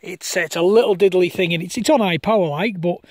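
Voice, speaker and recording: A man's voice: high voice, fast, dry recording, clean studio sound. A man with a Northern English accent, chatting casually and colloquially outdoors as an amateur radio operator. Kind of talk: casual chat over ham radio. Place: outdoors on a hilltop common.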